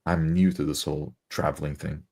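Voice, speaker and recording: A man's voice: very deep voice, little pitch movement, brisk, a little mellow, breathy edge, exaggerated voice. A man voicing an awkward, unsure novice adventurer who stammers and defers, in a tabletop fantasy game. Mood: unsure, deferential. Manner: hesitant, stammering.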